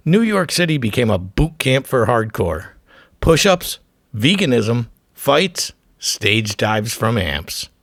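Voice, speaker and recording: A man's voice: mid-range voice, very animated, takes it slowly, a bit bright, no echo, studio quality. A man narrating a scripted music documentary in short punchy phrases, with an irreverent, profane edge.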